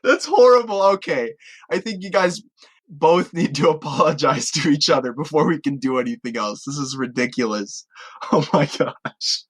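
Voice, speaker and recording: Female comedy co-host: husky, deep for a woman, casual, exasperated referee in the banter. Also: expressive, moderate pace. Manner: exasperated mediator.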